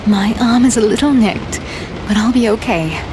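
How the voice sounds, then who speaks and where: A woman voicing a plucky, teasing young female fighter in a dark fantasy audio drama. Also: a little high, normal speed, noisy background, close to an everyday voice. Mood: teasing, fond. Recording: loud thunderstorm effects behind.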